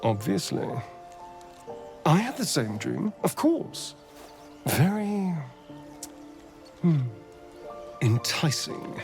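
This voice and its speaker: A man's voice: fairly high pitch, very animated, extremely slow, creaky, long pauses, a little mellow, full character voice. A man acting a sardonic, haunted escaped slave in a video game, with an arch, theatrical delivery. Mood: arch, smug. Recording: music behind the voice.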